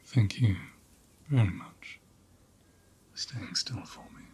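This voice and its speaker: A man playing a gentle, caring ram in an ASMR roleplay, speaking in a soft, breathy half-whisper with a soothing, tender manner. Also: lowish voice, takes it slowly. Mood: tender, attentive. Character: gentle, caring male ram tending an injured lamb.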